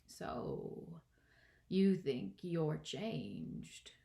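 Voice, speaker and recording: A woman voicing a languid, sleepy caterpillar in a children's storybook, curt and contemptuous, with breathy, drawn-out speech. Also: low voice, sing-song, warm tone, heavily acted. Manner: drawn-out, drowsy delivery with terse replies. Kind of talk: children's storybook read-aloud.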